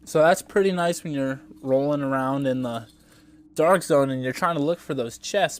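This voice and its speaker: A young man with a congested, stuffy voice, casually commenting on a video game as he plays, rambling and thinking aloud with some swearing. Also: mid-range voice. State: congested from a cold. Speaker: gameplay streamer.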